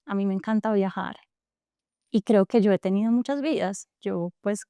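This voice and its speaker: A woman's voice: medium pitch, normal speed, dark tone, clean tone, dry recording. A woman in her thirties from Colombia's coffee region, speaking with its accent as an interview guest, earnest and reflective with many fillers and restarts. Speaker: guest, tech entrepreneur.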